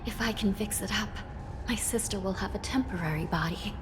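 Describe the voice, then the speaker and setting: A woman playing a weary, composed young official in a video game, measured and deadpan. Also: medium pitch, noisy background, natural voice. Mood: calm, focused.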